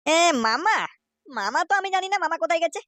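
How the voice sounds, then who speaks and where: A woman's voice: very high-pitched, animated, fast talker, a bit bright, dry recording. A woman voicing a clueless young Bengali nephew in a comedy cartoon, naive and hesitant. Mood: defensive. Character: clueless young male gang hanger-on, a nephew.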